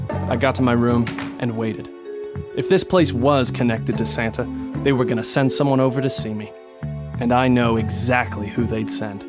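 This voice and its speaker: A man's voice: medium pitch, natural voice. A man playing a tough, sardonic police detective in a noir comedy audio drama, with a threatening edge. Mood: deadpan narrating. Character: tough, wry hard-boiled male police detective. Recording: music behind the voice.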